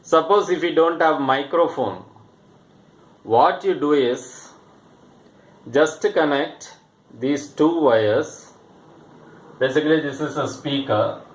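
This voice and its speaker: A man teaching an explanatory demonstration lesson as a physics teacher, halting and pausing, often repeating his phrases. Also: on the high side. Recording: echoey room with faint background noise.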